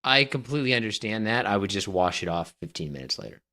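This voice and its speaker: A man's voice: on the low side, animated, fast talker, sharp tone. Man, podcast co-host, casual movie chat, needling, emphatic, opinionated. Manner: teasing, needling his co-host. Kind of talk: casual banter about movies.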